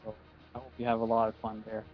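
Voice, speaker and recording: A man's voice: lowish voice, completely flat delivery, fast. A man from Norway joins a stream as a guest, polite and brief in a cheerful way. His voice comes through a dull, lo-fi line with faint music under it. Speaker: guest writer. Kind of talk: casual chat.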